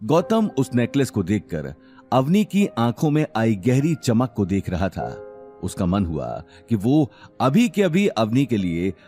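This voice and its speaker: Lively male audio drama narrator. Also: medium pitch, measured pace, faint music behind. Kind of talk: dramatic scripted story narration.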